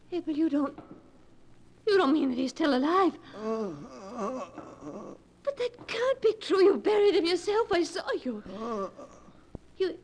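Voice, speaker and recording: An actress playing a frightened young woman who fears for her sanity, in halting, breathless old-time radio horror style. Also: very high-pitched, darkish tone, exaggerated voice. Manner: breathless, halting, repeating words. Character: frightened young woman fearing she is going insane. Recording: noisy old radio recording.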